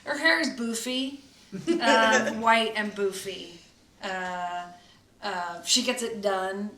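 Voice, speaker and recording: A woman from the cast chatting casually about her role, amused and halting, chuckling as she goes. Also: mid-range voice, pushed and bright, slight background noise. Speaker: cast member. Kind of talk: casual chat. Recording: roomy room, distant mic.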